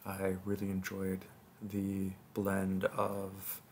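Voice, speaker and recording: A man speaking softly in halting phrases, addressing his listeners in a reflective outro as an audio roleplay performer. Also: low voice, completely flat delivery, a little hiss or hum.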